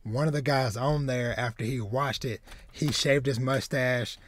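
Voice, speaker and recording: A man in his thirties hosting a podcast in casual chat, animated and rambling, repeating words as he searches for phrasing. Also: medium pitch, unhurried, slight background noise. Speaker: podcast host. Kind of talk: casual chat, retelling a film plot. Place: home room with bookshelves, indoors.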